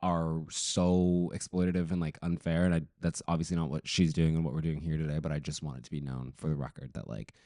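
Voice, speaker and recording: A man's voice: a bass voice, level tone, fairly quick, few pauses, warm tone, no echo, clean studio sound. A man chatting casually as a podcast guest, campy, gossipy and sweary, with a voice coming off a cold. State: getting over a cold.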